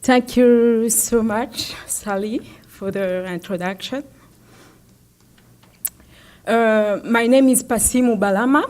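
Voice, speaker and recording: A young woman from the Democratic Republic of Congo giving a public talk about her own story, pausing often with fillers and restarts. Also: fairly high pitch, a little mellow. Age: young adult. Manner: halting, many fillers and restarts. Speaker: invited speaker.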